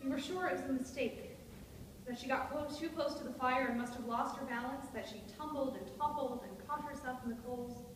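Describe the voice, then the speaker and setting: A woman's voice: high voice, brisk, lightly acted. A woman playing an anxious, melodramatic widowed stepmother with frail nerves in a dramatic stage reading of a period play, speaking in formal, ornate phrases. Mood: distressed, impassioned. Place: hall at a live staged reading. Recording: echoey noisy hall, distant microphone.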